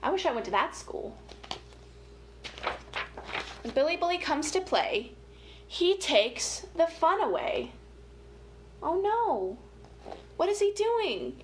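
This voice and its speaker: A woman with a high voice hosts an interactive story time for young children. She speaks casually and playfully, coaxing her listeners along like a chatty educator. The room is quite echoey, with a light noise behind her. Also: sing-song, takes it slowly, pauses often.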